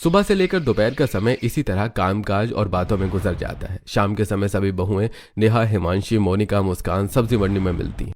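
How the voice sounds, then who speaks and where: A man's voice: on the low side, moderate pace, few pauses, a little mellow, slight background noise. A man reading scripted story narration as the narrator.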